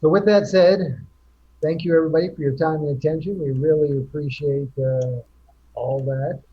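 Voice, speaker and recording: A man's voice: a little high, steady tone, moderate pace, highs cut off, a little hiss or hum. A man hosting a casual group chat show, rambling with many restarts. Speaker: podcast host.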